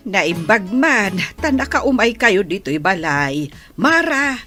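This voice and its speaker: A woman playing a gentle, elderly grandmother in an audio drama, speaking in an unhurried, counselling way. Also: mid-range voice, very animated, faint music behind, heavily acted. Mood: calm, explaining.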